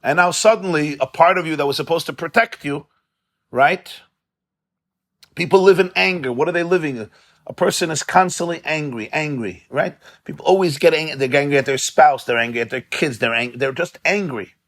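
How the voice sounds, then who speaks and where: Rabbi, man, slightly high voice, conversational and impassioned, study-hall class with Yiddish and Hebrew terms. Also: normal speed, a bit bright. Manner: impassioned, conversational, repeats words for emphasis. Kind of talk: informal religious lecture to a live group.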